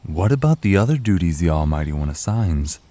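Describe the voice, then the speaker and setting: A deep, dark-toned man's voice for a cautious guardian spirit in an audio drama. He is courteous and formal, choosing words with care as he questions. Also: sing-song, a little fast, dry recording. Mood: doubtful, courteous. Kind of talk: scripted audio drama acting.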